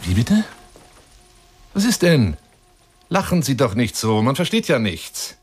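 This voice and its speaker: A man's voice: mid-range voice, sing-song, a little slow, faint music behind. An actor playing an eccentric, obsessive lion hunter who woos a woman by telephone in an effusive, insinuating radio play monologue. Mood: amused, teasing. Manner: effusive, insinuating, swinging between whispers and exclamations.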